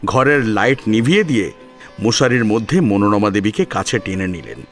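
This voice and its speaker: A man's voice: fairly low pitch, expressive, moderate pace, soft background music. A man narrating a serialized story in a dramatic style, as its storyteller.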